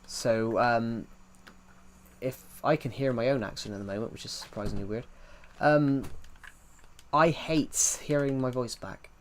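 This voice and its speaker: A man's voice: medium pitch, unhurried, slight background noise. A man live streaming from his PC, chatting casually and rambling as he answers chat messages aloud, with a thick accent that leans hard on the R. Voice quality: thick accent, strong R sounds. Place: at home at a PC.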